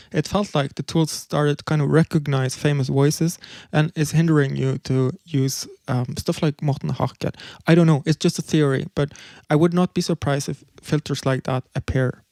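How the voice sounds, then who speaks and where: A man's voice: on the high side, a little mellow, no room sound. A man giving a casual livestream presentation, explaining things loosely with fillers and restarts. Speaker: presenter demonstrating music tools.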